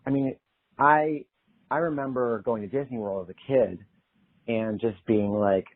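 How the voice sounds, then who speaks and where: Young male singer-songwriter guest, relaxed and chatty, laughs easily; narrow phone-like sound. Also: mid-range voice, unhurried. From Pennsylvania. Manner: easygoing, chatty, laughs often. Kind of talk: casual interview chat. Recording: tinny phone-like line.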